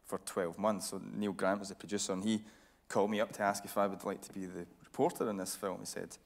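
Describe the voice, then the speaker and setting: A man's voice: lowish voice, fairly even intonation, quick pace, vocal fry. A man interviewing a guest on stage in a conversational style, as a TV reporter. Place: radio theatre stage.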